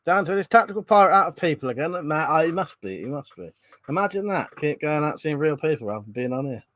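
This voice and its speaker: A man's voice: fairly high pitch, animated, fast talker, a bit bright, no echo. A man hosting a live stream, reading out viewer comments in a rambling, chatty way, congested from a cold and coughing now and then. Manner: chatty, rambling, frequent ums and restarts.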